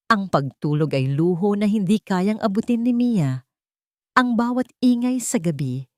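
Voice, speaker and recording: A woman narrating a scripted dramatic story, phrasing it deliberately with short pauses. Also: mid-range voice, darkish tone, dry recording, clean studio sound.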